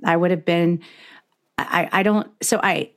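Low-voiced middle-aged woman hosting a podcast. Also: steady tone, measured pace. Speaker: podcast host interviewing a guest. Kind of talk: heartfelt conversational interview.

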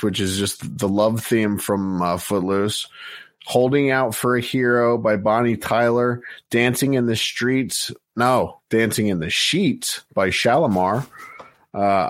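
A man hosting a podcast, reading out a track list in a plain, steady way with many fillers. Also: medium pitch, no room sound. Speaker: podcast host.

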